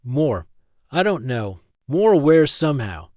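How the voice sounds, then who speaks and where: A man's voice: medium pitch, big pitch swings, unhurried, a little mellow, no room sound, clean studio sound. A man voicing an observant male doctor, a concerned colleague who speaks hesitantly.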